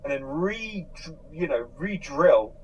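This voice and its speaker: A man in a casual discussion, explaining things haltingly and rephrasing as he goes. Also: fairly high pitch, animated, muffled recording, a crowd in the background. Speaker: podcast host. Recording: noticeable background noise.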